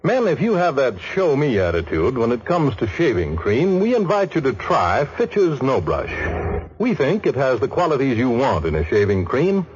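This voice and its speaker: A man's voice: mid-range voice, huge pitch swings, moderate pace, a little mellow. A man announcing on 1940s radio, reading an advertisement in a polished salesmanlike manner. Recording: muffled, faintly noisy 1940s radio broadcast.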